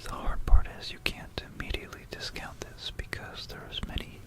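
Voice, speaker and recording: An ASMR presenter narrating history in a hushed, unhurried whisper, repeating words and pausing mid-phrase, close to a stereo microphone. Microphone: close stereo microphone for binaural ASMR.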